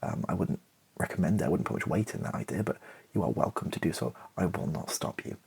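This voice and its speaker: A man chatting in a relaxed ASMR style, rambling and self-deprecating with a wry touch, his voice husky and creaky. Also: low voice, fast talker. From Britain. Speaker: ASMR YouTuber and playwright. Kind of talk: relaxed ASMR-style casual chat to camera.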